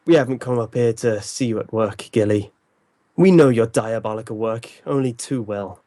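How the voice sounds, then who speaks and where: An actor playing an incisive, stern detective in an old-time radio drama, speaking with formal, theatrical authority. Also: male voice, mid-range voice, moderate pace, mellow, no room sound, close to an everyday voice. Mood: stern, accusing. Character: commanding, incisive male detective, calm and stern.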